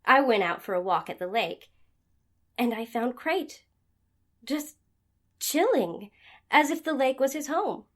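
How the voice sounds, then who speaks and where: A woman's voice: sits high, animated, unhurried, pauses often. A woman playing a tenacious, hot-tempered head chef in an audio drama, with an emphatic way of talking. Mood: candid, reflective.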